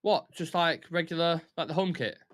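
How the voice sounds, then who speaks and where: A man's voice: fairly high pitch, moderate pace, fairly bright tone. A young man with a Mancunian accent hosting a live football watch-along, chatting and commentating casually in a blunt, sweary, opinionated way.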